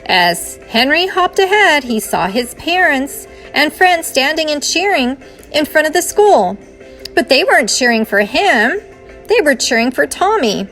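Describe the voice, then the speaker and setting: A woman's voice: high-pitched, a bit bright. A woman reading a children's storybook aloud in the style of a teacher.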